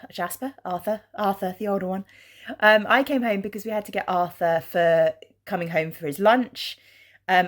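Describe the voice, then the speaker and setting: Husky-voiced woman with a congested throat. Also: lowish voice, a bit bright. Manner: rambling, chatty, with many ums. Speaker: craft vlogger. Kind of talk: casual vlog chat. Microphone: handheld camera.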